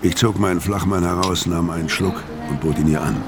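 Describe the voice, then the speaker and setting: An actor playing a weary, hard-drinking middle-aged killer on the run in a noir radio drama, bleak and terse, with a low gravelly voice. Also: male voice, steady tone, brisk, over music. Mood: bleak, brooding. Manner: terse, brooding. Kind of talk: noir first-person radio drama narration and dialogue.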